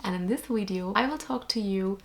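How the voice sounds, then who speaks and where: A woman's voice: mid-range voice, brisk, creaky. A young woman from India with an Indian accent, explaining things earnestly to camera in a casual vlog. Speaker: vlogger.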